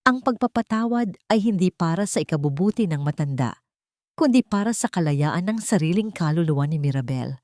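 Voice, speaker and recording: A woman narrating a scripted dramatic story in a measured, solemn storytelling manner. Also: fairly low pitch, lively intonation, a little mellow, dry recording, clean studio sound. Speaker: narrator of Tagalog love stories.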